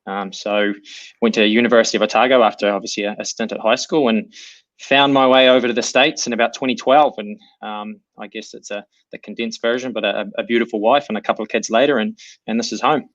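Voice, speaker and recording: A man from New Zealand with a New Zealand accent, an assistant coach answering interview questions in a relaxed, thoughtful way. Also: on the low side, fast talker, no room sound, highs cut off. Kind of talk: remote interview.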